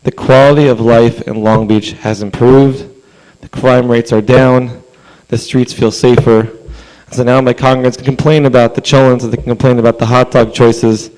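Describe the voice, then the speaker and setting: A man with a hoarse voice, a rabbi giving an earnest, conversational public comment at a city council meeting. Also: medium pitch, flat delivery, normal speed, few pauses, darkish tone, low-quality recording. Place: council chamber.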